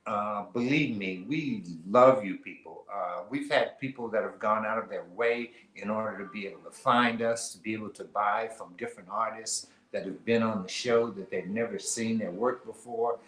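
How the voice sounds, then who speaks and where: A man's voice: fairly low pitch, moderate pace, few pauses, fairly bright tone. A man co-hosting a casual live-stream show, talking in a loose, rambling way with plenty of fillers and restarts. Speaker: co-host of a live online artist marketplace show. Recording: roomy echo.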